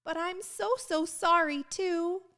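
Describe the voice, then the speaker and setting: A woman's voice: very high voice, slow pace, a bit bright, pitched above the performer's own voice, heavily acted. A woman voicing a sweet, anxious storybook girl with a timid, tearful, tiny voice. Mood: tearful, apologetic. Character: Ruby Valentine, sweet anxious girlish storybook heroine.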